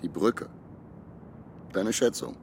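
An actor playing a gruff, grumbling barge skipper in a radio play, blunt and colloquial. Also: male voice, low voice, expressive, takes it slowly, exaggerated voice. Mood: dry, teasing. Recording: loud boat engine and water noise.

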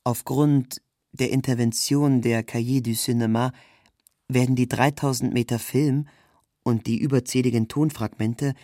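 A man's voice: mid-range voice, fairly even intonation, unhurried, warm tone, no echo. Dry, close-sounding male literary narrator. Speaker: narrator, reader of a literary text.

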